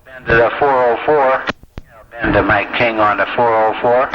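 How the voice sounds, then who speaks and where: A man working as a railroad tower operator, speaking over two-way radio in dispatch talk, with hesitant phrasing and frequent pauses. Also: mid-range voice, fairly even intonation. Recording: noisy lo-fi scanner recording of radio traffic. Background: radio static and noise.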